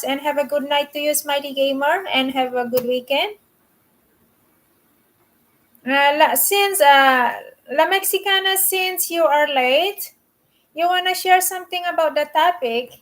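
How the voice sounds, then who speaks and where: A woman's voice: sits high, normal speed. A woman from the Philippines, hosting a casual live stream, chatting and greeting viewers by name.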